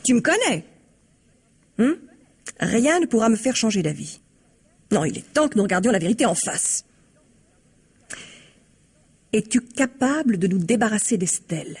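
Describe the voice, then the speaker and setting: Scornful high-voiced scheming wife. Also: female voice, big pitch swings, moderate pace, pauses often, darkish tone, breathy edge. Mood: cold, resolute. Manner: sarcastic, insistent, reproachful. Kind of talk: vintage French radio mystery acting. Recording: slightly muffled vintage radio drama recording.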